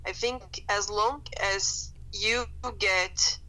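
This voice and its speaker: A woman's voice: medium pitch, fairly even intonation, drawn-out words, sharp tone, poor audio. A young woman, a guest chatting thoughtfully on a podcast with many fillers. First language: Portuguese. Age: young adult. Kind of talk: casual chat.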